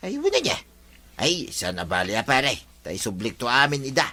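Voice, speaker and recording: Careless neighbour, Ilocano accent, lively swooping pitch, forceful bright voice, pleading and bantering, radio drama. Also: male voice, moderate pace, heavily acted. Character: careless, pleading male neighbour who borrows without returning.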